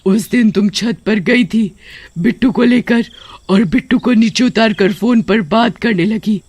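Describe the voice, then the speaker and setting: A woman voicing a vengeful but motherly ghost witch in an animated horror story, emphatic and full of feeling. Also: mid-range voice, unhurried, breathy edge, no room sound. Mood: angry, accusing. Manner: accusing, emphatic, emotional. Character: vengeful, motherly female ghost witch, a wronged young aunt.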